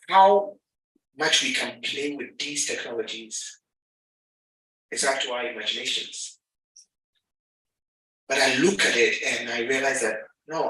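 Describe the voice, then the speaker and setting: Ghanaian man speaking in an echoey hall. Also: medium pitch. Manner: animated, acts out dialogue. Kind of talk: lively talk to an audience. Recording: echoey hall, mic at a distance.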